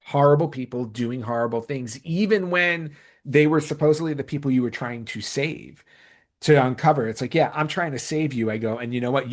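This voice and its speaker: Male podcast host, medium pitch, conversational, enthusiastic, leans hard on repeated phrases for emphasis. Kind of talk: casual podcast discussion.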